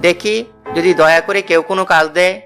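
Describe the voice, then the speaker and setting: A man's voice: sits high, steady tone, fast talker, music in the background, natural voice. A man playing an idle, good-natured young village brother in a cartoon fairy tale, plaintive and earnest. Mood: resolved.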